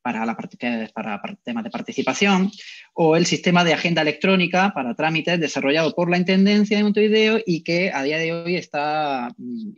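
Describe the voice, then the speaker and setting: A man in his twenties moderating an online panel and introducing speakers, a little halting, over video-call audio. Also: a little high, highs cut off. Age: 20s. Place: indoor room, video call. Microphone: earpiece headset on video call.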